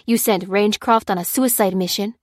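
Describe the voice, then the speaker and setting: A woman's voice: mid-range voice, fairly quick, dry recording, close to an everyday voice. A hard-bitten male soldier, as a woman narrator puts him on, growls and hurls accusations in a raised, heated voice. The sound is dry and close, with no room around it. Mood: angry ranting. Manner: growling, ranting, hurling blame. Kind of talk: audiobook character reading.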